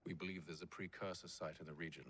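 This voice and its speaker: A man playing a courteous, self-assured gentleman leader with formal speech in a video game. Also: low voice, fairly even intonation, fast, a little hiss or hum. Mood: purposeful. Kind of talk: video game character acting.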